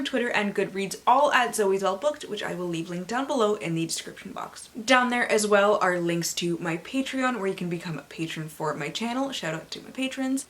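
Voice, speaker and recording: A woman's voice: mid-range voice, fairly bright tone. A woman hosting a book vlog, chatty and playful, with theatrical swings and joking asides to herself. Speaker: book vlogger and channel host. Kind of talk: casual chatty book haul vlog with hammed-up dramatic blurb readings.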